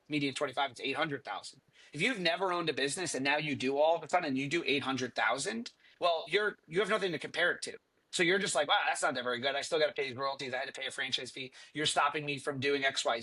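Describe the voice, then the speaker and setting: A man's voice: fairly high pitch, fast, sharp tone, low-quality recording. A middle-aged man, a company chief executive, answering on a business panel in an earnest, conversational way with frequent fillers and restarts. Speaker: franchise company CEO.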